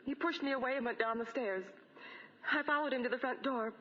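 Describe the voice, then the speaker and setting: An actress in a 1940s radio drama playing a frightened, distraught young wife, breathless and pleading. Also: female voice, sits high, fairly quick, lightly acted. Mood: shaken. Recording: dull vintage 1940 radio recording.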